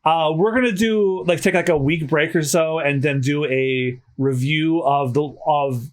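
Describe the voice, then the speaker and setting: Chatty male podcast host, slightly hoarse. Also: fairly high pitch, fairly even intonation, a little slow. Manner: chatty, self-correcting restarts. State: slightly hoarse after dental work. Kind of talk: casual podcast chat.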